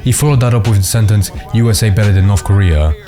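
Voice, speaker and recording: Brief: male commentary narrator, deep, rapid, casual, music underneath. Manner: casual, filler "like" throughout. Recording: voice-over with music behind.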